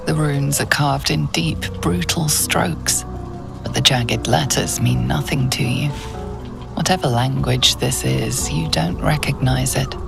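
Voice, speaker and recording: A woman playing a knowing narrator of a dark fantasy game, telling the tale in the second person with a gravelly hush. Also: rumbling low, a little slow, music in the background, heavily acted. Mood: hushed, ominous.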